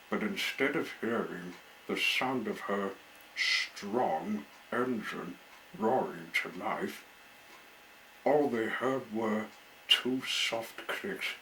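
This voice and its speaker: A man's voice: on the low side, slow pace, pushed and bright, a little hiss or hum, low-quality recording. A man reading a children's storybook aloud as the storyteller.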